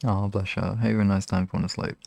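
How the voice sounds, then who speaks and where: A man with a lowish voice and a lot of creak talks in a soft, relaxed way, as an ASMR streamer does with his listeners. The sound is dry and close, and he takes his time in casual chat. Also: a little fast, dry recording. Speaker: ASMR hypnosis live streamer.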